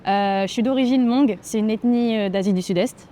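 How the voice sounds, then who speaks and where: A woman in her twenties from French Guiana, a grappling athlete answering interview questions in a thoughtful, hesitant way with frequent pauses and fillers. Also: mid-range voice, dark tone, slight background noise. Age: 20s. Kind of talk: documentary interview.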